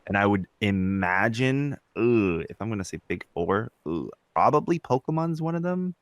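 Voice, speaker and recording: Deep voiced guy on a podcast, chill and agreeable, just casually chatting, no echo. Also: lively intonation, moderate pace. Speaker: podcast co-host. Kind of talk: casual chat, reacting to co-host.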